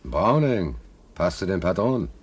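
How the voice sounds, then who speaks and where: A man's voice: low voice, big pitch swings, normal speed, breathy edge, heavily acted. A man playing an uneasy, bitter working-class ship stoker in a German radio play, talking in a colloquial, brooding, indignant way. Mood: wary discovery.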